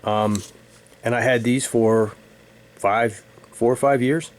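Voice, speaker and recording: A middle-aged man reminiscing casually as an enthusiast, speaking in halting short bursts. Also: mid-range voice. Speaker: audio hobbyist presenting own video. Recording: steady room noise, air conditioning hum.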